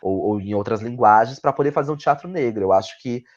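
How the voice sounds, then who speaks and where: A man's voice: medium pitch, fast talker. A man with a Brazilian accent gives reflective interview answers, searching for words, repeating phrases and often ending on tag questions. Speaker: guest playwright and actor. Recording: dull, lo-fi audio.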